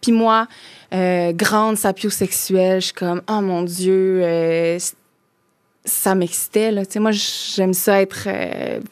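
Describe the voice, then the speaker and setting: A woman's voice: mid-range voice, fairly even intonation, slow pace, dry recording. A woman in her thirties from Quebec with a Québécois French accent, chatting as a podcast guest and talking loosely with many fillers and restarts. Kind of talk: casual chat.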